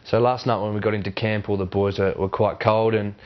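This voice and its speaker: A man in his twenties with an Australian accent, chatting casually in an interview with halting restarts. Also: fairly low pitch, flat delivery. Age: 20s. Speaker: Australian rules footballer. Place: warm indoor lounge with a fireplace. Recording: slightly dull sound.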